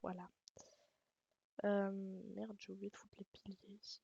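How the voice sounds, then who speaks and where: Gravelly woman with an Alsatian accent. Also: mid-range voice, flat delivery, a little slow, lo-fi sound. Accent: Alsatian French. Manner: rambling, swears, self-mocking jokes. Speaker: gaming channel host. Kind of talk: casual game tutorial commentary. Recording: loud background noise.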